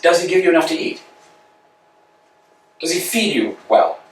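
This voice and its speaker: A fussy, anxious tramp, played by a man, theatrical and full of questions, his voice slightly high and swinging widely in pitch. The room is strongly echoey and he sits well away from the mic. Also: big pitch swings, moderate pace, distant mic, slight background noise. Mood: questioning. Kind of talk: staged play reading.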